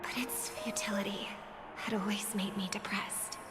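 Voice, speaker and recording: A woman playing a gentle, earnest young female singer in a video game, idealistic and measured in speech. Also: medium pitch, fairly even intonation, in a whisper, natural voice. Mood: wistful, reflective. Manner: measured, soft-spoken, earnest. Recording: long reverb, music under the voice. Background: background music.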